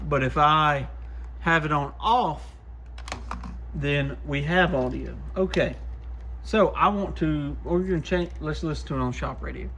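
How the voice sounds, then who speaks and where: Higher-voiced man, casual and folksy. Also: a little slow, sharp tone, slight background noise. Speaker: host, CB radio shop technician testing used radios. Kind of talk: casual hands-on product testing commentary.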